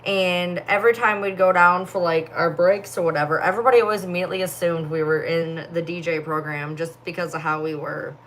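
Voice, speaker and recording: A woman's voice: on the low side, fairly even intonation, fairly quick, hardly any pauses, a bit bright, slight background noise. A young woman chatting casually on a phone livestream, sleepy and yawning, with a distinctive voice and lots of filler words. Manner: rambling, heavy filler words. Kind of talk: casual live-stream chat reading comments.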